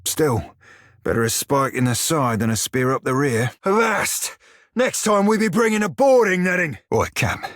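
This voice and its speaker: An actor voicing a short, crude, wry pirate shiphunter for a video game, with a husky, rough-edged voice and a pirate dialect full of salty sailor slang. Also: male voice, a little high, animated, normal speed, fairly bright tone, no room sound, studio quality. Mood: hailing, loud. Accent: pirate-style dialect. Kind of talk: video game character dialogue and barks.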